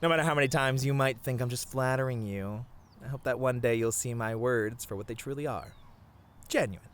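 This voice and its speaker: A man playing a sweet, bashful young boyfriend in a romantic roleplay, talking to the listener in a tender, halting, earnest way. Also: mid-range voice, natural voice. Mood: fond, lighthearted. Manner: soft-hearted, halting, sighing, chuckling, gently earnest.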